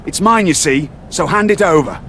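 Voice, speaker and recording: A man's voice: fairly high pitch, lively intonation, moderate pace, a bit bright, slight background noise. A man voicing an indignant, fussy wrestler who speaks in an affronted, formal way in a game.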